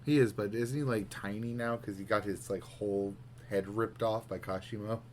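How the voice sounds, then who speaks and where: A man co-hosting a podcast, chatting casually. He is laid-back, laughs easily and lets his sentences trail off quietly. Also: on the low side, fairly even intonation, moderate pace, slight background noise. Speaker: podcast co-host. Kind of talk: casual chat.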